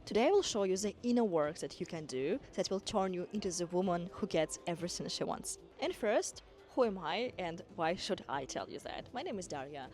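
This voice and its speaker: A woman's voice: on the low side, lively intonation, fairly quick, few pauses, a little mellow. A woman from Kazakhstan, a dance school owner and women's coach, giving an earnest, encouraging motivational talk to camera, with small non-native grammar slips. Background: background music.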